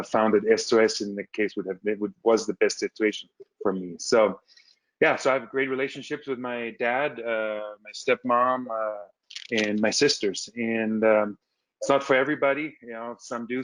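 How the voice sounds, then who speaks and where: A lowish-voiced man on a video call, telling his story at a quick clip and stumbling over the first words of his sentences. The sound is dry and close, with no room around it. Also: no echo, rough recording. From Austria. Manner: fast, repeats words when starting sentences. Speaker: alumnus guest. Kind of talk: casual webinar storytelling. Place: home room with wood ceiling.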